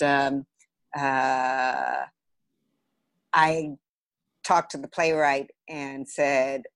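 A woman's voice: sits low, no echo. A woman with an American accent, a podcast guest and theatre director chatting casually about her work. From Los Angeles area, United States. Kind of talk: casual interview, telling career stories.

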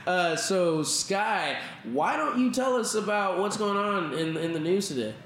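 A man's voice: high-pitched, lively intonation, a little slow, fairly bright tone. An American man from Texas hosting a casual news-talk podcast, addressing listeners in an informal, folksy way. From Texas, United States. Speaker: podcast host. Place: indoor studio under renovation. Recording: echoey room, faint background noise.

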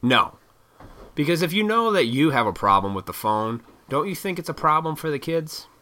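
A man in his thirties ranting to camera in a rambling, profane way, his throat froggy and needing clearing. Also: on the high side, sing-song, moderate pace, pushed and bright. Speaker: vlogger. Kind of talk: rambling casual rant to camera.

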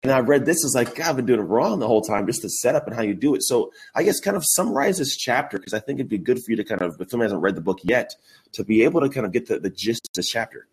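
A man's voice: mid-range voice, expressive, racing. A young adult man from the Bay Area hosting a podcast, enthusiastic and slangy with lots of fillers. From Bay Area, USA. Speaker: podcast host. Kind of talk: casual interview chat.

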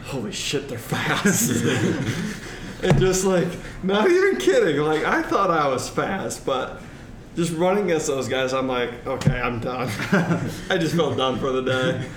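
A man's voice: on the high side, big pitch swings, unhurried, background noise. A young man telling a self-mocking story in casual chat, laughing and using lots of likes. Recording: echoey room.

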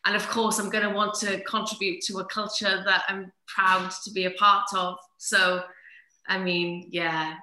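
A woman's voice: mid-range voice, level tone, measured pace, bright, forceful tone, reverberant, a little hiss or hum, rough recording. A woman from Cardiff, a writer on a panel, talking earnestly with lots of fillers. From Cardiff, Wales. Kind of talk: casual panel talk.

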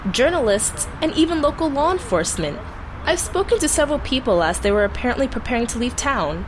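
A female field reporter in an audio drama, animated and professional, her voice a little high. Clear street and vehicle noise runs behind her. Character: female TV news field reporter, urgent and businesslike. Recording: noisy outdoor location with vehicles.

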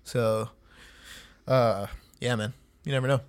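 A man's voice: medium pitch, fairly even intonation, slow pace. Laid-back man giving advice on a podcast. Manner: laid-back, gives advice, says "man" and "dude" a lot. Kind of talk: casual podcast chat.

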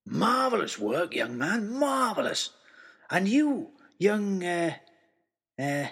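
A man voicing a huge, jolly old man in an audio story, warm, exclamatory and bumbling over names. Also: sits high, very animated, takes it slowly, vocal fry, slightly rough, voice pushed up, full character voice. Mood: joyous, hearty.

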